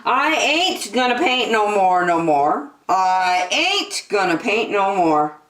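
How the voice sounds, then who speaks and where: Slow, animated woman reading to children, echoey. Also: mid-range voice. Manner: slow, animated delivery addressed to young children. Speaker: children's storytime host and reader. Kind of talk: sing-song picture book read-aloud for children. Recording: roomy echo, distant microphone.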